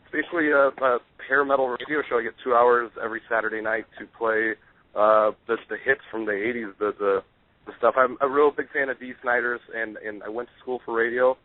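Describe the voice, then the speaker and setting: A man's voice: medium pitch, steady tone, brisk, dry recording. A man from Nebraska, a radio DJ and band member, eagerly answering questions as a guest on a casual talk show. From Nebraska, USA. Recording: dry, tinny phone-like sound.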